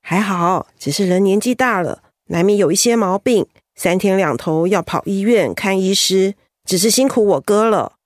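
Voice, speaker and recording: A woman's voice: mid-range voice, normal speed, hardly any pauses, dry recording, lightly acted. Actress as reasonable middle-aged woman, dry sound. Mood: friendly. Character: middle-aged female cousin, reasonable, gently persuading peacemaker. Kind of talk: radio drama acting.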